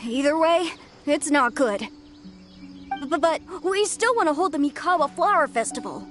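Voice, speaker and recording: Young female forest spirit, high voice, earnest, slightly nervous. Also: animated, normal speed. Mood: worried. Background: game soundtrack music.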